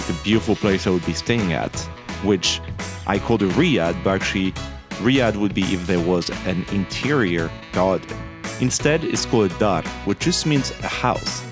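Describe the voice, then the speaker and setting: A young man telling about his travels in a relaxed, chatty way, with lots of small fillers. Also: on the low side. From Morocco. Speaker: travel vlogger. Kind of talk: casual travel vlog narration.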